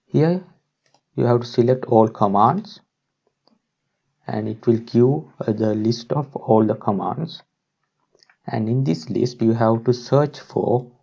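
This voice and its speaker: A man narrates an instructional screen recording at a medium pitch. His voice is soft-edged and dark with a gravelly fry, and the recording sounds dull and lo-fi. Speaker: tutorial presenter.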